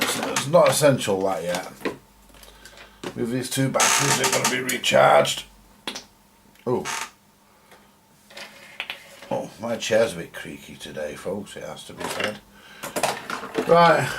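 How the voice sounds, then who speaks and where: A man giving casual, rambling hands-on repair commentary to viewers, thinking aloud as he works. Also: mid-range voice. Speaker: host of a radio repair video. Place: at a home workbench. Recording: clear background noise with clicks.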